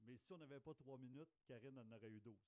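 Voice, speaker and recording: A man's voice: medium pitch, fast talker, mellow, no echo. A man with a Quebec French accent chatting casually as co-host of a teaching podcast. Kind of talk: casual conversational podcast talk.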